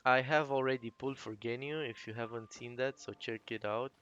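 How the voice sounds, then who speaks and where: A man's voice: mid-range voice, fairly even intonation, brisk, a bit bright, clear, resonant tone, highs cut off. A man doing casual let's play commentary, murmuring short remarks as he plays. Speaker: gaming video host. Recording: faint game music behind the voice.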